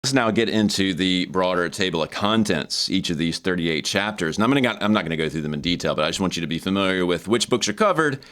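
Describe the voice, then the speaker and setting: A man's voice: low-pitched, animated, fast talker, hardly any pauses, a bit bright. A man hosting an informal, explanatory walkthrough of an academic book, conversational yet scholarly, repeating words for emphasis. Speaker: host and book reviewer.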